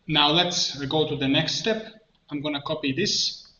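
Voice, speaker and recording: A man presenting an improvised software tutorial, thinking aloud haltingly as he works at a computer. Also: a little high, steady tone. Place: indoor room at a computer. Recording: dull, echoey room, clear background noise. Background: keyboard typing and mouse clicks.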